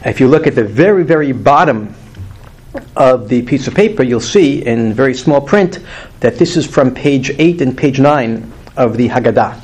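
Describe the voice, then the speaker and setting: A man's voice: mid-range voice, lively intonation, moderate pace, darkish tone, husky. Earnest man teaching a Torah class. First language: not English. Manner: earnest, emphatic, rising on key words. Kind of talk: weekly Torah class lecture. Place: classroom with a live group.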